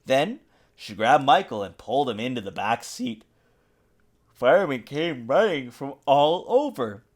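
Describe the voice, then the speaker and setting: A father reading a picture book aloud to a young child, with expressive, dramatic emphasis. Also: mid-range voice, unhurried. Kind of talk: animated children's storybook narration. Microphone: USB desktop mic.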